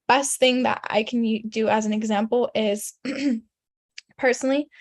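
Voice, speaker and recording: A young woman whose first language is German, giving a casual, chatty resume webinar full of "like" and "um" and frequent throat clearing. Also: on the high side, fairly even intonation, measured pace, no echo. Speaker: career coach presenting a resume webinar.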